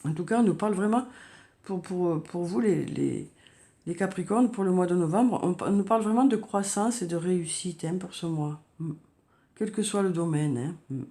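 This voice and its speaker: A woman with a cold giving a tarot reading, talking softly and hesitantly with many fillers and repeated words. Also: lowish voice, normal speed. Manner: soft, hesitant, frequent "hein" and "euh", repeats words. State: has a cold, coughing. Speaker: tarot card reader. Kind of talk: monthly horoscope card reading.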